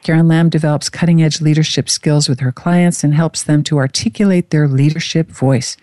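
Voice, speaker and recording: A woman's voice: low voice, steady tone, normal speed, warm tone, no room sound, clean studio sound. A woman hosting an interview show, talking in an effusive, gushing way as she introduces and chats with her guest. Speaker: show host.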